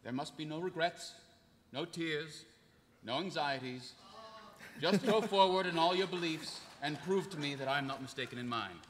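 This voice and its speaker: A man's voice: on the high side, lively intonation, moderate pace, natural voice. A man playing a wholesome, earnest action hero with solemn, old-fashioned formality at a live table read. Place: large indoor convention panel room. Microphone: table microphone. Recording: noisy echoey convention hall panel.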